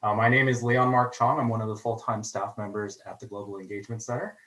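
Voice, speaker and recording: A man from a college's international office presents an online information session for new students. He speaks in a friendly way and fills his pauses with "um" and "uh" over a video call. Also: fairly low pitch, flat delivery, fairly bright tone. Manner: friendly, filler-heavy delivery with frequent "um" and "uh". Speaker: international student services staff member.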